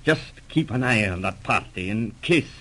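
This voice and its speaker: An actor with a Scottish accent playing a cautious, genial trading-post factor in a 1930s radio drama, folksy and measured. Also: male voice, lowish voice, expressive, sharp tone, exaggerated voice. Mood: uneasy, confiding.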